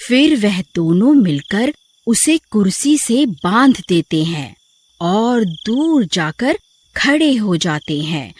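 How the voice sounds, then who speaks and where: A woman's voice: mid-range voice, very animated, slow pace, a little mellow, husky. A woman narrating a scripted horror story.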